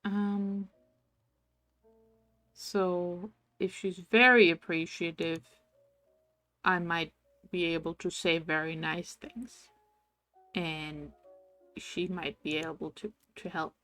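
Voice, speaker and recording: A woman's voice: on the low side, fairly even intonation, slow, long pauses, fairly bright tone, no echo, soft background music. A woman streaming a game, chatting casually and reading its text aloud between her own asides. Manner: coos affectionately at game pets.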